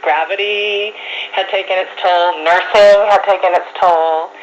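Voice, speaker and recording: A woman's voice: medium pitch, fairly even intonation, a little slow. A woman giving casual personal testimony as an interviewee. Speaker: patient interviewee. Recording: muffled shortwave radio with static.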